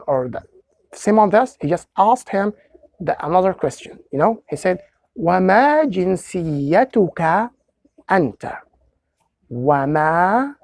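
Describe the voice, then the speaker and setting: A man, an Arabic speaker, teaching a beginner language lesson by deliberately repeating and translating short phrases. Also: high-pitched, vocal fry, dry recording. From Egypt. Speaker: language tutor.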